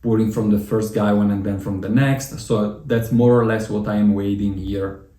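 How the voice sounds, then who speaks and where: A man with an Italian accent hosting a tea tasting, talking in a relaxed, chatty way with frequent fillers. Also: lowish voice, normal speed, echoey room. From Italy. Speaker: tea channel host.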